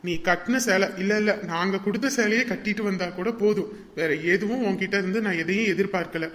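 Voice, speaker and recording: A man voicing a groom who speaks gently to his bride and shouts in anger at others. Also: high voice, fairly quick. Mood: gentle. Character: hot-tempered man, groom and angry brother.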